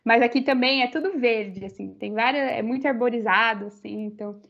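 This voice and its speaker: Young Brazilian woman with a high, slightly muffled voice. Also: a little fast, creaky. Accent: Brazilian Portuguese. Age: young adult. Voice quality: many fillers, rambling restarts. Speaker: university student guest. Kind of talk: casual interview chat.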